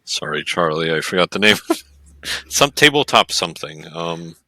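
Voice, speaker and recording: Very deep-voiced man, bright and dry. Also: very animated. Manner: halting, hesitant, many fillers and restarts. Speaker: podcast co-host. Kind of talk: casual chat.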